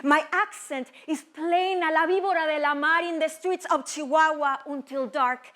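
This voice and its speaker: High-voiced Mexican woman. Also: steady tone, a bit bright. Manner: slow, measured poetic delivery with Spanish words. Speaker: teaching artist. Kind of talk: spoken word poetry and teaching.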